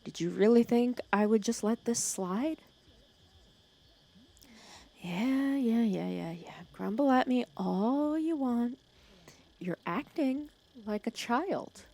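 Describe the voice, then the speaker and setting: A woman playing a protective, teasing female villain who scolds and cares for her hero nemesis in an ASMR roleplay, sarcastic and often dropping to a hush. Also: on the high side, expressive, takes it slowly, long pauses, heavily acted. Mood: teasing, caring. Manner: scolding yet caring, sarcastic, dropping into hushed breathy asides. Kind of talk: ASMR roleplay audio drama.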